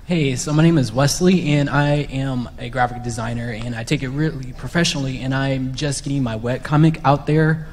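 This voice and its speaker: A man's voice: medium pitch, a little fast, hardly any pauses, darkish tone. A man casually asking a question as an audience member at a hall microphone. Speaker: audience questioner, graphic designer. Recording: reverberant hall, faint noise.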